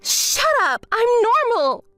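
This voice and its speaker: Woman putting on a bratty greedy young woman, high whiny voice swinging all over, dry close mic, faint music under. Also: big pitch swings, measured pace, a bit bright, no echo, exaggerated voice. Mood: shrieking. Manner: whiny, shrieking, self-pitying. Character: spoiled greedy young woman, shrill and demanding. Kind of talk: dramatized story voice acting.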